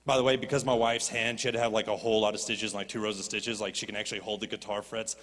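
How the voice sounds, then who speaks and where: A man preaching a sermon as a pastor, animated and rising to impassioned pleading. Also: lowish voice, quick pace. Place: church stage. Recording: long reverb of a church hall.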